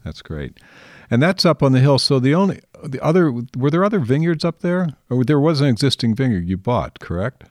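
A man's voice: mid-range voice, big pitch swings, brisk, darkish tone, dry recording, clean studio sound. A man hosting a casual interview, friendly and chatty, laughing easily as he asks questions. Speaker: interviewer, host.

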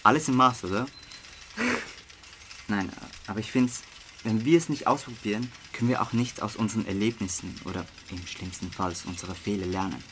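A man's voice: fairly low pitch, normal speed, a bit bright, a little hiss or hum. A young man playing a curious, easygoing teenage boy in an amateur radio drama, talking casually in youth slang with some hesitancy. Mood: persuading. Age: young adult. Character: curious, easygoing teenage boy trying partying for the first time.

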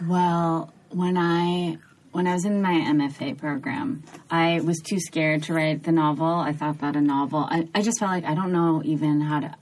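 A woman in her thirties from New York talks about her writing as a podcast guest. Her voice sits low. She speaks thoughtfully and often restarts her sentences. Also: steady tone, normal speed, darkish tone, poor audio. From New York, USA. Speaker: author guest. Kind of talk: podcast interview.

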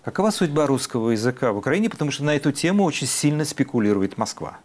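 A man hosting an interview, asking probing questions in a measured way with hesitant fillers. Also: medium pitch, big pitch swings, a little mellow. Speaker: host, interviewer.

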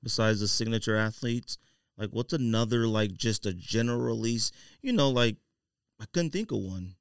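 A man's voice: on the low side, normal speed, clear, resonant tone, no echo, studio quality. A man hosting a podcast, talking sports and sneakers in a conversational, opinionated, slangy way. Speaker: podcast host. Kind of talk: casual sports and sneaker chat.

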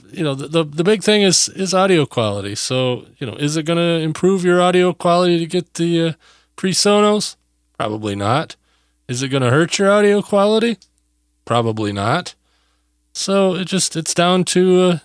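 A man hosting a podcast, giving casual equipment advice in a relaxed, rambling way full of fillers, recorded close on a boom mic in his home studio. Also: smooth, dry recording. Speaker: podcast host. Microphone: close studio mic on a boom arm.

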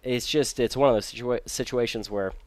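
A man from Michigan, raised in Virginia, telling his story as a guest in a relaxed, rambling way. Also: mid-range voice, a little fast, no room sound. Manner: rambling, easygoing storytelling with fillers. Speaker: guest race car driver. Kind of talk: casual podcast interview.

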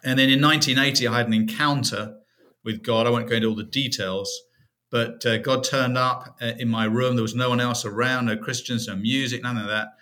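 Middle-aged british guy, guest on a podcast, bright clear voice, reflective storytelling. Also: mid-range voice, fairly even intonation, brisk. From United Kingdom. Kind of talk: personal testimony in an interview.

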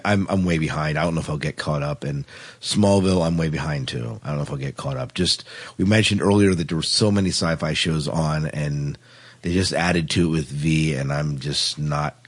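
A man's voice: a bass voice, fairly quick, husky. A man hosting a casual podcast chat, often repeating and restarting his phrases as he talks. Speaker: podcast host.

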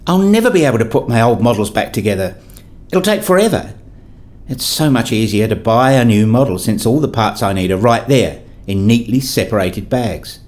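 Male narrator for a scripted first-person audio drama, lower register, also voices the dad's dialogue. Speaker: narrator and father in an audio play.

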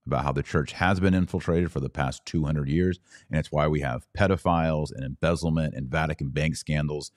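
A man's voice: very deep voice, darkish tone, no echo, clean studio sound. A man hosting a live podcast, reading and answering viewer comments in emphatic, punchy bursts of short phrases. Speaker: podcast host.

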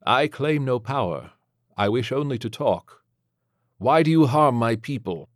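A man's voice: mid-range voice, expressive, measured pace, dry recording, studio quality, pitched above the performer's own voice, lightly acted. A man voicing a steadfast, commanding priest exorcist in an audiobook, solemn and declamatory. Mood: firm calm. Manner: solemn, declamatory prayer and rebuke.

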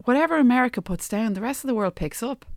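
An Irish woman co-hosting a podcast, talking casually and emphatically, often halting and repeating words as she argues her point. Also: on the high side, lively intonation, dry recording, studio quality. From Ireland. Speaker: podcast co-host. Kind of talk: casual opinionated discussion.

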